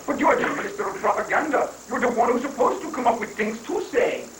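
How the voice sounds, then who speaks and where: A man's voice: very high-pitched, expressive, fast talker, fairly bright tone. A man playing a glib, unruffled guru who hands out mock-wise reassurance in an amateur reading of a stage satire. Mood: probing. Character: guru counselling troubled government officials, unruffled and glib. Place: room at a live library reading. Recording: distant, echoey room recording with faint noise.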